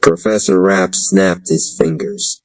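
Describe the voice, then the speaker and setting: A man narrating a spoken-word story with a measured, rhythmic cadence and occasional promo breaks. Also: low-pitched, fairly even intonation, dry recording, poor audio.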